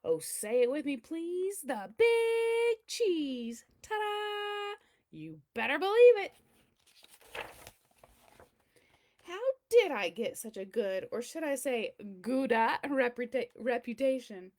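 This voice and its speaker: A woman's voice: sits high, very animated, takes it slowly, a little mellow, exaggerated voice. A woman voicing a boastful, pompous chunk of cheddar cheese in a theatrical storybook read-aloud. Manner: pompous, showy, theatrical delivery.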